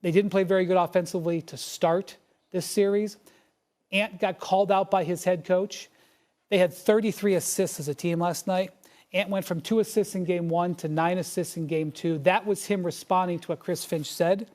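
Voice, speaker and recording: High-voiced man breaking down basketball. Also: steady tone, a little mellow. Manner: brisk, analytical breakdown. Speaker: sports analyst. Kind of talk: sports analysis.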